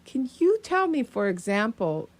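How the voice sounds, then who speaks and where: A woman playing a grave, insistent male professor in a radio play, with deliberate, formal phrasing. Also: on the high side, big pitch swings, exaggerated voice. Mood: grave, ominous.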